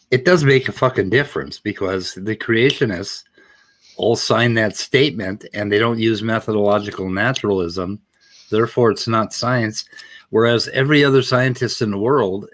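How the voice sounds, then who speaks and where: A man with a lowish voice hosts a live stream. He talks over a debate clip, casual and blunt, and gives his opinions freely. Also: normal speed, fairly bright tone. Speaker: host.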